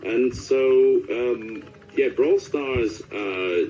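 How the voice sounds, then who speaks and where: A man's voice: medium pitch, extremely slow, dark tone, a little hiss or hum, low-quality recording. A man chatting casually as a voice actor being interviewed.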